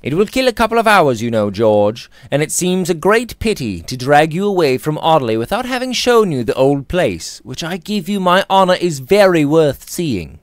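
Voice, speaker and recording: Man acting a genteel, high-voiced young gentleman. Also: big pitch swings, normal speed, few pauses, no echo. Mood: persuasive. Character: languid young gentleman barrister.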